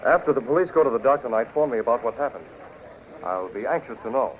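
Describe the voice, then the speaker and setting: An actor playing a calm, determined young newspaper publisher in 1940s radio drama, measured and earnest. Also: male voice, medium pitch, close to an everyday voice. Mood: measured, serious. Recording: muffled, hissy 1940s radio recording.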